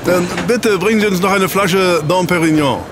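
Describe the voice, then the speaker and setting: An actor in a German audio drama playing a suave, jovial newspaper reporter with a chummy, teasing manner. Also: male voice, high voice, moderate pace, music in the background, lightly acted. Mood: jovial, surprised. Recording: clear ambient noise behind.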